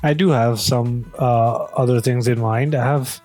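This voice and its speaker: A man's voice: medium pitch, steady tone, unhurried, a little mellow. Man, mid-range voice, casual interviewer, hesitant phrasing, faint background music. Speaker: host, interviewer testing an AI voice. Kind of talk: casual question-asking chat.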